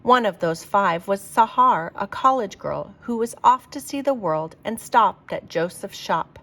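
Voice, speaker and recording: Lady reading a kids picture book out loud, really animated, voice goes up and down a lot. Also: mid-range voice, a little slow. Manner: expressive, emphatic delivery for young listeners. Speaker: teacher reading picture books aloud for children. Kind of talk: read-aloud storytelling of a children's picture book.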